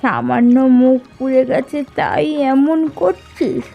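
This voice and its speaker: A woman voicing a scornful, defiant old village crone in a cartoon fairy tale, taunting and scolding in a creaky voice. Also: sits high, slow, highs cut off, full character voice. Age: older. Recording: background music under dialogue.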